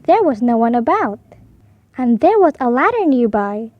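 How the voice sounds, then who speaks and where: Animated high-voiced woman reading a storybook. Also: normal speed, no echo, dull audio. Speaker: storybook reader. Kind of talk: expressive read-aloud narration for young children.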